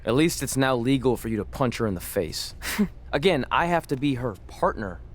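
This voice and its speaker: A man's voice: medium pitch, lively intonation, moderate pace, close to an everyday voice. A man playing a grumbling, sardonic wrestling champion in a video game.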